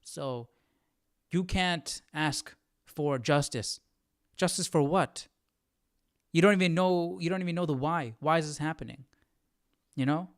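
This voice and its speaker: A man hosting a Bible study, chatting casually and reflectively, thinking aloud in a halting way. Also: fairly high pitch, clean tone, dry recording, clean studio sound. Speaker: host of a live Bible study. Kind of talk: casual chat, commentary on scripture.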